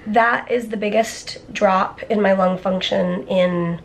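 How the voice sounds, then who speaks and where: A woman's voice: medium pitch, fairly even intonation, slow, poor audio. A woman in her thirties talking casually to a vlog audience, telling things in a chatty way with asides. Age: 30s. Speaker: vlogger, cystic fibrosis patient. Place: moving car and hospital clinic room. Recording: background noise, car and room ambience.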